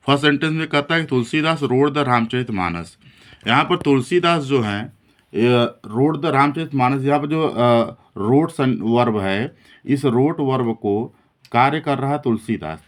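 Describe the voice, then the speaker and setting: A man's voice: medium pitch, fairly bright tone. A man explaining a lesson as a teacher, in a didactic way that repeats and rephrases key points. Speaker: English grammar teacher. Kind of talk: classroom-style lesson explanation in Hindi mixed with English terms.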